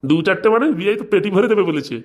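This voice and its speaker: A scheming cartoon father , voiced by a man, who talks quickly. He is blustering and wheedling, forever angling for advantage. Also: high-pitched, lively intonation, lo-fi sound. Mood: boastful scheming. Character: scheming, liquor-loving father of a grown son. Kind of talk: comic cartoon dialogue.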